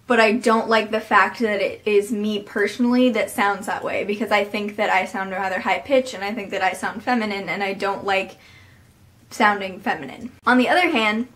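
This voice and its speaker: A young adult speaks earnestly into a webcam in a small bedroom, at a mid-range pitch. The talk is reflective and unscripted, full of ums and likes, with the hands moving as the thoughts come. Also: sharp tone. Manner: earnest and reflective, many fillers, talks with hands. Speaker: vlogger. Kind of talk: casual talking-to-camera vlog. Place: small bedroom with posters, indoors.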